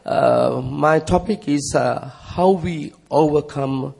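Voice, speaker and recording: Husky middle-aged Sri Lankan preacher. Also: male voice, fairly high pitch, darkish tone. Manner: halting, broken non-native English. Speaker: visiting preacher. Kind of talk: sermon through an interpreter, in short phrases.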